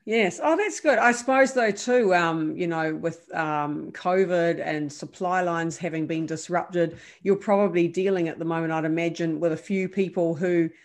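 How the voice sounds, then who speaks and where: Enthusiastic lower-voiced New woman host. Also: normal speed, few pauses. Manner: enthusiastic, encouraging interjections. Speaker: podcast host. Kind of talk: podcast interviewing.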